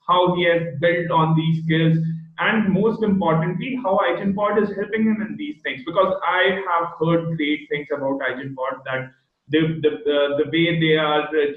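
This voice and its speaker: A man hosting an online interview with a child guest, talking in a halting way and often stopping to search for words. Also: on the high side. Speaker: host. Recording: echoey, tinny phone-like video call audio.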